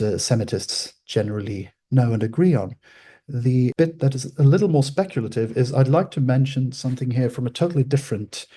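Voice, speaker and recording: Swedish-native man, soft husky lecturing. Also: mid-range voice. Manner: thoughtful, pausing to restate and qualify. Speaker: lecturer on ancient languages. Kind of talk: informal scholarly explainer.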